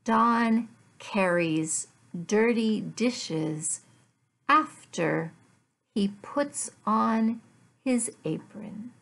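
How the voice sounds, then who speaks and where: A middle-aged woman, an English teacher, giving a lesson in deliberate, word-by-word phrases with repeated questions and answers. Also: a little high, expressive, vocal fry, fairly bright tone. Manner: deliberate, word-by-word enunciation for learners. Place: small furnished room at home.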